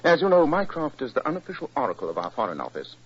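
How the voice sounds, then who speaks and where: British detective: courteous, observant, husky mid-pitched voice with lively inflection, vintage radio play, dulled recording. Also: male voice. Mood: calm, dryly amused. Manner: measured, precise, understated. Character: cool, courteous, observant male English detective. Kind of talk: 1940s radio acting. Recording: muffled, band-limited old radio recording.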